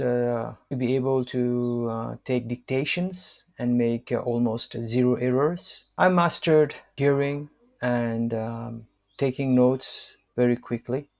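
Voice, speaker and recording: A man in his fifties from Nepal, whose first language is Newari, reading his own book aloud in a measured, hesitant way with frequent fillers. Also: mid-range voice. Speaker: author and language counselor.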